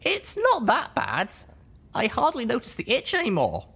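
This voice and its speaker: Young female pony adventurer, pitched high, anxious but earnest; played by a male reader. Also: wildly swooping pitch, normal speed, gravelly, sharp tone, breathy edge, full character voice. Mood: putting on bravery.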